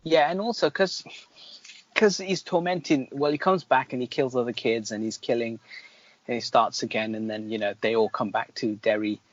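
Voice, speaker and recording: A man's voice: medium pitch, steady tone, moderate pace, muffled recording. A man reviewing films in casual chat as a podcast host, with an opinionated, critical manner.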